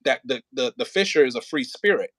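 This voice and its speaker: A man coaching an actor casually as an audio play director, thinking aloud in halting, repetitive phrases and sounding encouraging. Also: medium pitch, animated, fairly bright tone, no echo.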